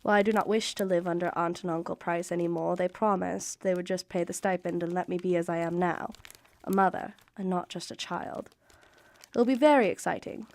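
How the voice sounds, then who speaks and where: An actress playing an affectionate young married Victorian mother reading her letters in a radio drama, with genteel, expressive period diction. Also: lowish voice, a little fast, close to an everyday voice. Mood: hopeful.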